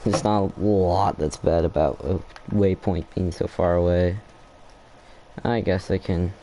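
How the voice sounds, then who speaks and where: A man playing a game at home and chatting through it, thinking aloud in a rambling way. His voice is fairly low and mellow. He often trails off and restarts his thoughts. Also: fairly even intonation, unhurried, a little hiss or hum. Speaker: gameplay streamer. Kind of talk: casual gameplay commentary.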